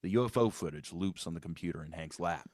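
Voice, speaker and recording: A man's voice: low-pitched, fast, darkish tone, no room sound, clean studio sound. A man reading scripted stage directions as the narrator of a screenplay table read.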